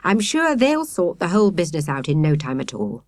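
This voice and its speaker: A woman voicing a kindly, reassuring British male scout leader in a children's storybook, calm and encouraging. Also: deep voice, a little fast, no echo, clean studio sound. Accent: British English.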